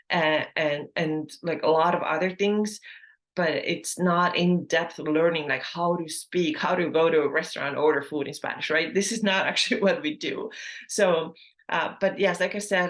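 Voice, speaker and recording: A woman in her thirties, a native Estonian speaker, hosting a casual solo podcast in English, chatty and full of fillers and self-corrections. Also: low voice. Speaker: podcast host.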